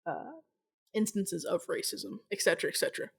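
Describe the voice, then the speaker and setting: A woman's voice: mid-range voice, a little fast, gravelly, husky. A woman hosting a casual interview, speaking in a blunt, emphatic way with some swearing. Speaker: interview host.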